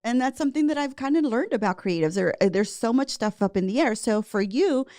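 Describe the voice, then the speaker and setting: A woman's voice: on the high side, animated, fairly quick, no echo, studio quality. Woman podcast host, affirming and chatty. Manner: warm affirming listener, frequent mm-hmms. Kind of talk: interviewing a guest.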